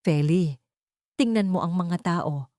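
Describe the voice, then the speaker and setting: A woman's voice: lowish voice, slow, warm tone, no echo, clean studio sound. A woman narrator voicing a devoted, troubled man, the earnest romantic lead of a love story. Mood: tender, proud.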